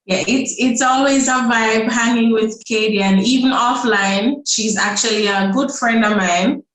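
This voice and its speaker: A woman's voice: fairly high pitch, a little slow, fairly bright tone, a little hiss or hum. A woman from Jamaica, a guest on a podcast, talking in an easygoing, storytelling way. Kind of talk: casual podcast conversation. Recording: echoey room.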